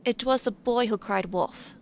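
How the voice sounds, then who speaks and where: A snarky teenage schoolgirl played by a woman with a highish voice. She is exasperated and sardonic, in radio-play style. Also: measured pace, vocal fry, slight background noise. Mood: sarcastic, exasperated. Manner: snarky, muttering asides. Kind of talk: audio drama acting.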